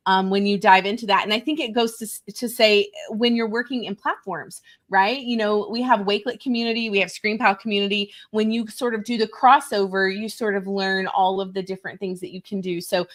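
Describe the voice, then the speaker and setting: A woman's voice: medium pitch, steady tone, brisk, hardly any pauses. A woman from West Texas hosts a live online session, her Texan accent coming through in a chatty, upbeat delivery. She laughs easily as she talks to guests over a video call. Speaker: host. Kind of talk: live webinar hosting.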